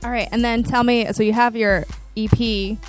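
A young adult woman hosting a studio interview, asking questions in a bright, encouraging way on a handheld mic. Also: a little high, level tone, soft background music. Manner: bright, encouraging, quick follow-ups. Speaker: interviewer, show host. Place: small studio in front of a logo backdrop.

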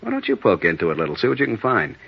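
An American actor playing a weary, wry police sergeant in a 1950s radio drama, reporting in a dry, matter-of-fact way. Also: male voice, on the low side, wildly swooping pitch, rapid-fire, vocal fry, slightly rough, heavily acted. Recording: dull old-time radio recording.

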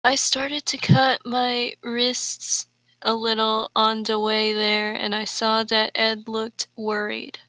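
A woman with a fairly high, bright voice reads aloud in a casual group session. She goes slowly, and the sound is dry and close. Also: level tone, dry recording, poor audio. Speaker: co-host reader.

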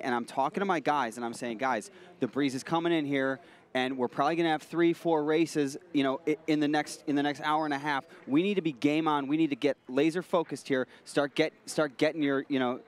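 A male expert breaks down race conditions and standings with a slightly high voice. He thinks aloud, repeating words as he restarts his sentences. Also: brisk. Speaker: expert analyst. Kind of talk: live sports analysis.

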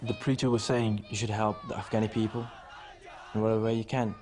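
A man's voice: on the low side, little pitch movement, a little fast, shouting, a loud crowd, very noisy. A man, casually recalling events in an interview. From English Midlands. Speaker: documentary interviewee. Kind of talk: casual recollection.

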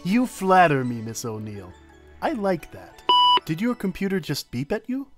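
A man's voice: high-pitched, big pitch swings, normal speed, loud music behind the voice. A man acting a pompous, theatrical scientist. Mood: smooth, dismissive. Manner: grandiose, showy. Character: pompous, smug male scientist and inventor. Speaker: actor in a motion comic. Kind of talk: theatrical animated drama acting.

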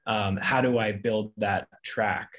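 A man's voice: lowish voice, steady tone, slow pace, a bit bright. A man in his thirties casually asking interview questions on a video call, tentative and searching for his words. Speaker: graduate student panelist. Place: bright room at home, on a video call.

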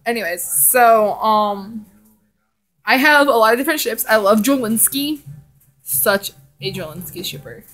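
A woman's voice: fairly high pitch, a little slow, fairly bright tone, rough recording. A young woman vlogger chatting casually and reading fan fiction in a rambling, giggly way. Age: young adult. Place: living room indoors.

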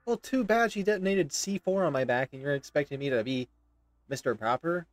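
A man's voice: fairly high pitch, animated, a little fast. A man, casually commenting on a game as he plays and repeating himself. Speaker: game streamer. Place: indoor room.

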